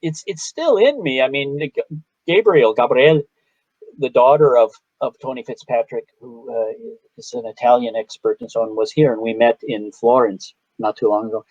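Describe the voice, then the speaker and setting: A man's voice: medium pitch, normal speed, darkish tone, no room sound. Chicago guy rambling thru stories, keeps cutting himself off, dry kinda dull call audio. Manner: rambling, self-interrupting storyteller. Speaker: guest artist. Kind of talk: casual video-call interview. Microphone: video call.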